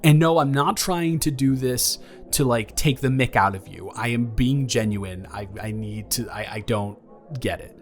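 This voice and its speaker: A man's voice: medium pitch, moderate pace, faint music behind, lightly acted. A man playing an awkward, affectionate young ex-boyfriend in an improvised intimate audio roleplay, talking in a hesitant, playful, earnest ramble. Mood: confused, earnest.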